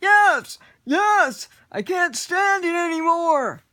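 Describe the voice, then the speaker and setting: A woman voicing a big, fat, greedy male goblin who shouts and wails in a storybook reading. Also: medium pitch, expressive, drawn-out words, no room sound, studio quality. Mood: whiny. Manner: shouting, wailing.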